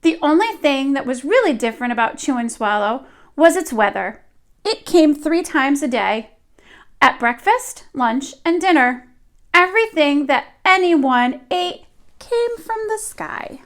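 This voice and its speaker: A woman reading a picture book aloud like a teacher at storytime, expressive and deliberate for young children. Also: sits high.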